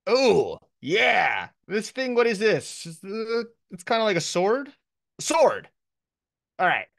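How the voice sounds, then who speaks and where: A man lecturing a class in a loose, conversational style. His voice sits a little higher than average for a man, and the sound is dry, with very little room to it. He explains things informally, as if thinking aloud. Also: huge pitch swings, takes it slowly, vocal fry, fairly bright tone, no echo. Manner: casual, off-the-cuff, conversational. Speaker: professor, lecturer.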